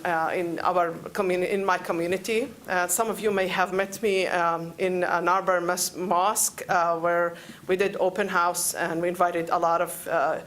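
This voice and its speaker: A woman in her forties from Palestine with a Palestinian Arabic accent, making an unscripted plea at a council meeting, halting and often searching for words. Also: on the low side, a bit bright. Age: 40s. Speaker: public commenter at a council meeting. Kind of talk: unscripted public speech, pleading.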